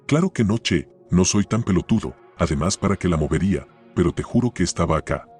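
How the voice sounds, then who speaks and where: A rattled Argentine policeman in a radio play, played by a man. His voice is very low and husky, and he sounds queasy and defensive. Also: expressive, fairly quick, darkish tone, soft background music. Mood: bewildered, defensive. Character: shaken, queasy male police officer, defensive. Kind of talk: radio drama acting.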